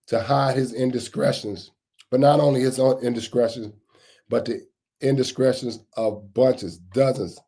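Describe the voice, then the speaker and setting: Raspy-voiced man hosting a live stream. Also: mid-range voice, mellow. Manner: greets chat viewers by name mid-sentence; repetitive, emphatic phrasing. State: raspy voice, getting over a cold. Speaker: livestream host on security news. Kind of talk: casual live commentary.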